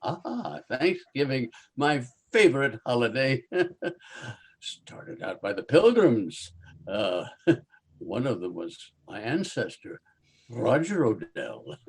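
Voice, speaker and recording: An actor playing a genial, morbidly jolly undertaker with formal, florid speech in a radio comedy. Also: male voice, mid-range voice, very animated, slow, breathy edge, full character voice. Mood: proudly storytelling. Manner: formal, florid, chuckling delivery. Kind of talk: old-time radio comedy acting.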